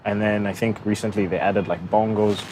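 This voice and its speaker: A man's voice: fairly low pitch, steady tone, a little fast, noisy background. A man from Zambia chatting casually and informally as a podcast co-host.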